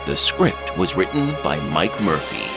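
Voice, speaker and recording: A man narrating an audio drama series, reading scripted credits in a deliberate, dramatic announcer style. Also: on the low side, huge pitch swings, gravelly, over loud music.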